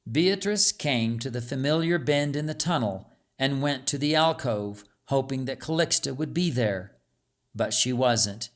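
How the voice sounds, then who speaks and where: Male narrator for storybook fiction. Unhurried, medium-pitched, measured and dramatic. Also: animated. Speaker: audiobook narrator.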